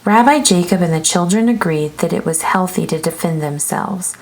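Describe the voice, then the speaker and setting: A woman narrating a children's book aloud in an expressive storytelling style. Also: fairly low pitch, normal speed, poor audio.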